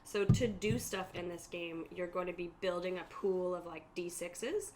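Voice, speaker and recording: A woman's voice: lowish voice, normal speed. A woman acting as game master, explaining tabletop game rules to players on a stream in a casual, chatty way. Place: room at a group gaming table. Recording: noticeable room noise behind the voice.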